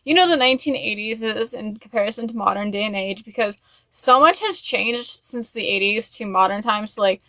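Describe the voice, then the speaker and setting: Bright-voiced woman chatting casually. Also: medium pitch, fairly quick, no room sound. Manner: rambling, gushing fan talk with frequent "like" and "you know". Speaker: YouTube host. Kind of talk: casual chat to camera, music album review.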